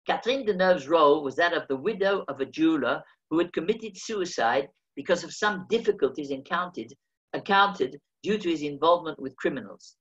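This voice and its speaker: A man's voice: a little high, lively intonation, brisk, fairly bright tone, highs cut off. A man, reading his own memoir aloud over a video call with dry, wry humor. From England. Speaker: memoir reader.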